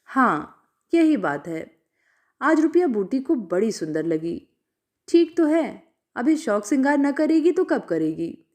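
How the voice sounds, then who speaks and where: A woman storyteller narrating a literary story from a script in an expressive way. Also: sits high, unhurried.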